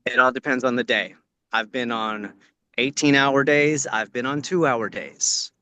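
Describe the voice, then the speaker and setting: A man's voice: medium pitch, unhurried, sharp tone, clean tone, no room sound. An American man from California giving casual, earnest advice as a working actor, repeating phrases for emphasis. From Orange County, California. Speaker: working actor answering questions. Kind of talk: casual spoken advice to acting students. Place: outdoors on location, on a video call.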